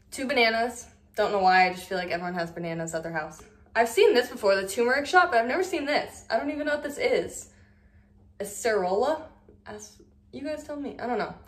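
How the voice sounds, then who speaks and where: A woman's voice: a little high, roomy sound. A young woman in her twenties chatting casually through a grocery haul vlog in her home kitchen, filler-heavy and friendly with the camera. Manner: chatty, lots of "like", showing items to camera. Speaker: lifestyle vlogger.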